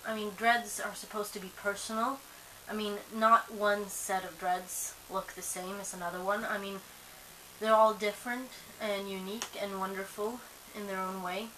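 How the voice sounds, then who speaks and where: A woman's voice: medium pitch, flat delivery, moderate pace, sharp tone, poor audio. A young woman whose first language is Swedish, chatting casually to camera, rambling with lots of fillers and restarts, a bit sleepy. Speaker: vlogger. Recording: faint hiss.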